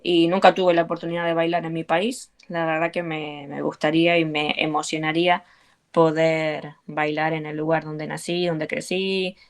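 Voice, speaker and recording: A woman's voice: sits low, level tone, normal speed. An Argentine woman, a belly dance teacher, talking earnestly and encouragingly in an interview, with frequent fillers. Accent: Argentine Spanish. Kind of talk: conversational interview.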